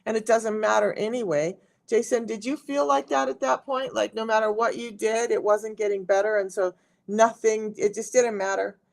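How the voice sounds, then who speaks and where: A middle-aged woman with a raspy voice, chatting casually to viewers on a live stream as a health coach. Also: fairly high pitch, steady tone, moderate pace. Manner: chatty, talks straight to viewers.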